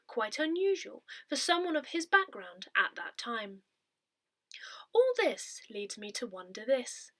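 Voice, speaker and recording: A woman reading a written newsletter article aloud in a measured, carefully enunciated way. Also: high-pitched, fairly bright tone. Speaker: volunteer reader of an audio parish newsletter.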